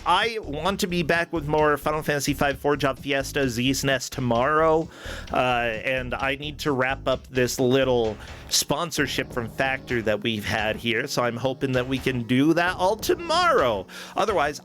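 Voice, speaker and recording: A man's voice: medium pitch, expressive, moderate pace, a bit bright. A man hosting a livestream in a casual, chatty, effusive and informal way. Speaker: livestream show host. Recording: music playing behind the voice. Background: background music.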